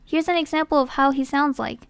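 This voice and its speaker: Girl reading out a casting call script, high clear voice, dry recording. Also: fairly quick, dry recording. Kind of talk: scripted narration.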